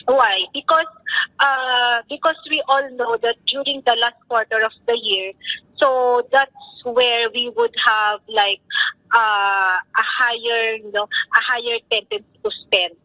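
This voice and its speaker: Female interviewee, Filipino accent, high-pitched, dry close sound, explains informally in Tagalog-flecked English. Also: unhurried, no echo, highs cut off. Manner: mixes Tagalog words into English.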